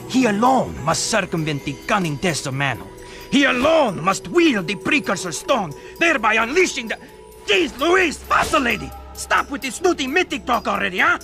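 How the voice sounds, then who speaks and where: An actor voicing an irritable, sarcastic talking bird in a video game, swinging between pompous mystical pronouncements and snappy complaints. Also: male voice, high voice, creaky, sharp tone, heavily acted. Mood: exasperated. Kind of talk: animated video game cutscene acting.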